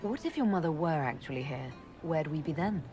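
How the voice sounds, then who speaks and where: Guarded noble heroine, slightly low pitch, measured, dry, game dialogue, faint music. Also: female voice, animated. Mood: concerned, curious. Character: composed, guarded young noblewoman leading an order. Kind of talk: fantasy video game dialogue acting.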